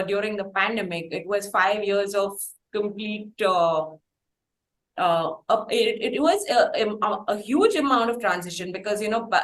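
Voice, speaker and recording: Echoey Indian woman interviewer, halting delivery. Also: mid-range voice. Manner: halting, long-winded, builds slowly to questions. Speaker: interviewer, podcast host. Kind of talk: conversational interview questioning. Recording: roomy, echoey sound.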